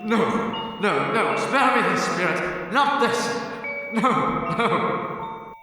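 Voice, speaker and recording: A man's voice: high-pitched, very animated, very slow, exaggerated voice. A man playing an old miser in an old-time radio play, exclaiming, stammering and repeating his words with strong feeling. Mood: anguished. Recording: echoey hall, distant, faint music.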